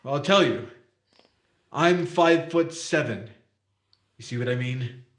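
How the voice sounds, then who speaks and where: A man's voice: medium pitch, measured pace, fairly bright tone, natural voice. A man acting in an old-time radio thriller as a wary, stubborn working-class plumber, plain-spoken, slangy and defiant. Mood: stubborn, sceptical. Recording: echoey, roomy voice.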